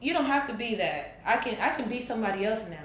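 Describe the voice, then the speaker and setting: A woman in her late twenties, talking thoughtfully and deliberately in a casual group conversation, with emphatic bursts. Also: a little high, expressive. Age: 20s. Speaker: podcast guest. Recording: echoey room with faint noise.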